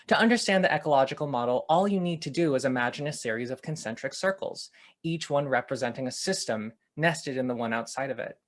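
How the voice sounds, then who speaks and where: An upbeat man introduces a children's segment and explains ideas plainly for families. His voice sits at an ordinary pitch, cheerful and clear in its pacing. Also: fast. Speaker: religious education director.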